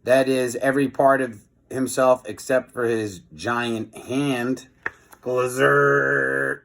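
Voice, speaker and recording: Male storybook reader, mid-pitched, animated delivery with casual, chatty commentary. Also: slow, vocal fry. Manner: expressive, chatty commentary between passages. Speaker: teacher reading a children's book aloud. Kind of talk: animated storybook read-aloud with casual asides.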